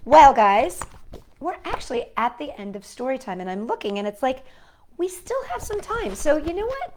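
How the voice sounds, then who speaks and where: A woman's voice: a little high, a little mellow. A woman hosting a storytime, reading children's stories and poems expressively and speaking directly to young listeners. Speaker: storytime host and reader. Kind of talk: children's story read-aloud.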